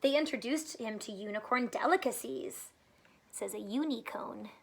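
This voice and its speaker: A woman reading a children's picture book aloud like a teacher, expressive and pausing to point out details in the pictures. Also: fairly high pitch, vocal fry. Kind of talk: children's story read-aloud with asides.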